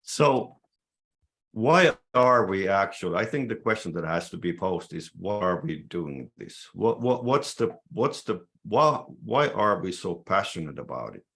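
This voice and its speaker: Low-voiced Finnish man in his 60s. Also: big pitch swings, gravelly, a bit bright. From Finland. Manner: halting, restarts phrases often. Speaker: podcast host. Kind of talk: casual podcast chat.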